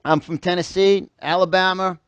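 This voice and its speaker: Male seminar speaker, higher-pitched, emphatic, repetitive, rhetorical questions, dry close sound. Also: fairly even intonation, normal speed, clean tone, no room sound. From New York, United States. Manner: emphatic, repeats phrases and fires questions at listeners. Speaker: motivational speaker. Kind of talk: motivational seminar talk to a live audience. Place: live event room with a small audience.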